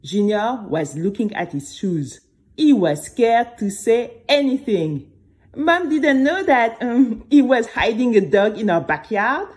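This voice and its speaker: A woman's voice: on the high side, lively intonation, slow, darkish tone. A woman voicing a nervous, dreamy young girl who tells her own story with dramatic, chatty asides. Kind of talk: scripted first-person storytelling for children.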